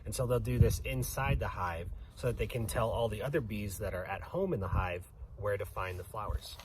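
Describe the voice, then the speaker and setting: A man's voice: lowish voice, lively intonation, a little fast, a little hiss or hum, poor audio. A man giving an informal educational explanation for children as a guest, going through things plainly and step by step.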